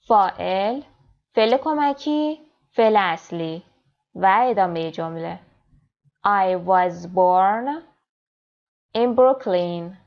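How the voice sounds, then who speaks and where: Quick-explaining woman English teacher, dull sound. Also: medium pitch. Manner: explains quickly in Persian, then reads English examples slowly and clearly. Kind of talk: grammar lesson.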